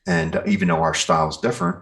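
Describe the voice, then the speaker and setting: A man's voice: deep voice, steady tone, fast talker, fairly bright tone. A man speaking casually as an interview guest, explaining things in a rambling, think-aloud way. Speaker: interview guest, metal band singer and guitarist.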